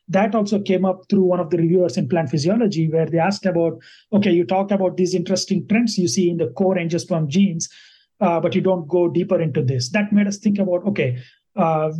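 Man, from India, native Kannada speaker, high voice, warm dark tone, thoughtful, hesitant interview answers. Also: poor audio. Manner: thoughtful, many fillers and restarts. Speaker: guest scientist.

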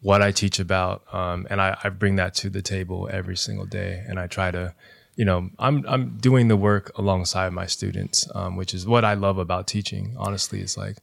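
A young man, a teacher, speaking as a podcast guest in a soft, reflective way, with many fillers like "you know". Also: deep voice, little pitch movement, moderate pace, vocal fry, no room sound, studio quality. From Los Angeles area, US. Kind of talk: reflective interview talk.

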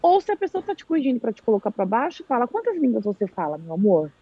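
Husky animated Brazilian woman chatting live. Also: fairly high pitch, quick pace, dry recording, lo-fi sound. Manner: animated storyteller, acts out quoted speech. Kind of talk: casual live chat.

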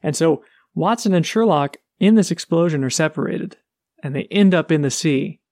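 A man's voice: a little high, expressive, moderate pace, creaky, darkish tone, dry recording, studio quality. A man co-hosting a podcast, casually retelling a book's plot with energy. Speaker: podcast co-host. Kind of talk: casual book discussion.

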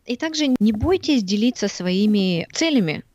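A woman's voice: mid-range voice, moderate pace, no room sound, muffled recording. A woman from Siberia in her thirties, a podcast guest chatting casually and animatedly, laughing often and acting out what others said. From Siberia, Russia. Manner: animated, laughs often, acts out quoted voices. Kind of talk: casual interview chat.

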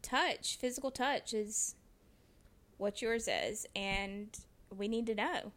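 Young woman co-host, relaxed and chatty, a mid-range voice. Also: mid-range voice, slow pace. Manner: relaxed, chatty, swears casually. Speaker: podcast co-host. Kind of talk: casual chat.

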